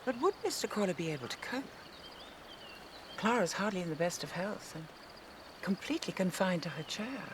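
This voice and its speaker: A woman's voice: fairly low pitch, very animated, normal speed, pauses often. A woman acting a prim, cautious and doubtful lady guardian in a film drama. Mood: doubtful, formal. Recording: outdoor film sound with background noise.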